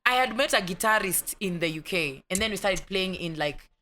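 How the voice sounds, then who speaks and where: A young Kenyan woman talks through her stories in an animated way, slipping Swahili words into her English and acting out the people in them. Her voice is bright and clear. A faint hiss sits under the recording. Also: mid-range voice, fairly quick. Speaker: actress guest. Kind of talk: casual storytelling interview.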